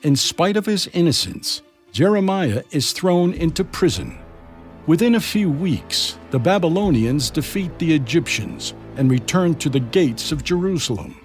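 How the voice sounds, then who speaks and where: Male story narrator, mid-pitched and expressive, dramatic scripted delivery for children over faint music. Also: normal speed.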